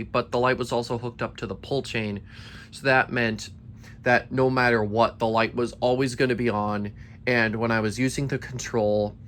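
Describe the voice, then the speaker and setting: A man's voice: mid-range voice, a bit bright. Young man, tired voice, casual rambling vlog, phone camera in a basement, faint background hum. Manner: rambling, eager, with many restarts. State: tired, slightly worn voice. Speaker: ceiling fan collector. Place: basement collection room.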